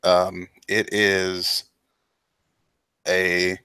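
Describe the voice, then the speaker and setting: A man with a low voice and a bright, forceful tone narrates a tabletop game as its game master. He lays out the terrain and objects steadily and plainly. The sound is close and dry, with no room around it. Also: completely flat delivery, smooth, dry recording. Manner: plain, measured scene description. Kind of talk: describing scenes in a tabletop game.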